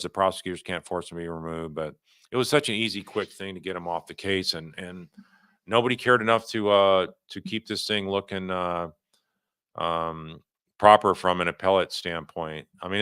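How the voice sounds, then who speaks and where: Really low male voice, bright n clear, pretty dry sound, talking law off the cuff to viewers, kinda halting. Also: no echo. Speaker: host of a legal commentary livestream. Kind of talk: unscripted legal commentary answering viewer questions.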